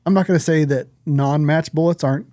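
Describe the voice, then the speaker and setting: Higher-pitched, mellow man, blunt and profane. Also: fairly quick, dry recording, studio quality. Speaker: podcast host. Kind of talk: casual chat.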